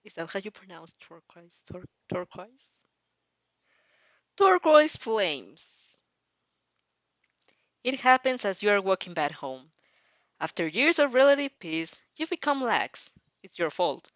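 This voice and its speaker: Animated woman reading a story aloud. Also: mid-range voice, slow, pauses often, dry recording. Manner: animated, breaks off to react and restart sentences. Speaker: fan fiction reader and reactor. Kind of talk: expressive audio reading of fan fiction with casual asides.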